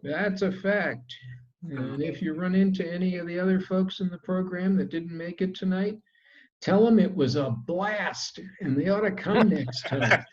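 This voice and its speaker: Man, slightly higher voice, easygoing host on a video call, dull lo-fi audio. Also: unhurried. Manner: relaxed, chatty, many fillers. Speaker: meeting host. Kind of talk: casual meeting wrap-up.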